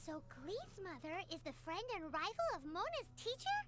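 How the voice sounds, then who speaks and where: A woman's voice: extremely high pitch, big pitch swings, moderate pace. A woman voicing a small, concerned companion in an anime game, childlike and earnest. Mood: thoughtful. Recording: game audio under loud music.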